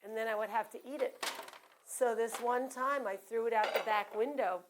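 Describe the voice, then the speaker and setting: A woman's voice: fairly high pitch, fairly even intonation, moderate pace, noisy background. Chatty woman host over kitchen sizzle. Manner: chatty, asks many questions, shares anecdotes. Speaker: talk show host. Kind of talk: casual cooking show chat.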